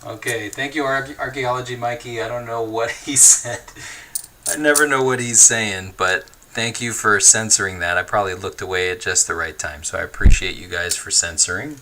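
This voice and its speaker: A young man hosting a casual livestream, chatting and reading comments at an easy pace. His voice sits a little low but sounds very bright and forward. Faint noise sits behind him. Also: steady tone, normal speed. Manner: easygoing, chatty, laughs often, thinks aloud with fillers. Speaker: livestream host.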